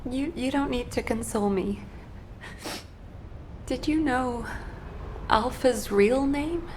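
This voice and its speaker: A woman acting a grieving, composed officer in a video game, speaking sincerely and quietly. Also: sits high, slow, a little hiss or hum. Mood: fragile, sad.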